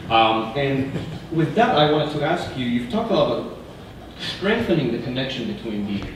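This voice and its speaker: A man's voice: mid-range voice, very animated, moderate pace. A young man from Israel, a student, asking a question from the audience in a hesitant way full of fillers. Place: university lecture room. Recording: echoey room, voice from far off, audible noise.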